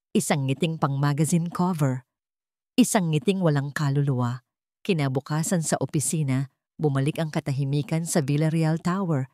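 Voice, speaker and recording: A woman narrating a drama story in a measured, dramatic storytelling manner. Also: deep voice, a little mellow, no room sound, clean studio sound.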